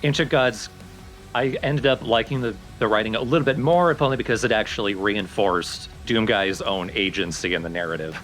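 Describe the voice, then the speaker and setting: A man's voice: fairly low pitch, a little fast, a bit bright. A man giving casual, analytical and opinionated commentary on a video game. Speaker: let's play co-commentator. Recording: background music under the voice. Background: game music.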